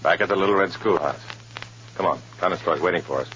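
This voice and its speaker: Undercover schemer, male actor, low-key and smooth-talking, vintage radio drama with muffled sound. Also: fast talker, background noise, close to an everyday voice. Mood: brisk, tense. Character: cool, scheming male undercover agent posing as communist organizer. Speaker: lead actor and first-person narrator. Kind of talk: 1950s radio drama acting and narration. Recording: dull old radio recording, loud music behind.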